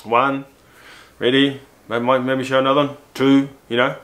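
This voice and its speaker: A man in his forties with a New Zealand accent, chatting casually to camera about his gear, repeating himself and jumping up in pitch when he shows things, in a room at home on a camera-mounted mic. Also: level tone, unhurried, a bit bright. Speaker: motorcycle vlogger. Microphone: camera-mounted shotgun mic.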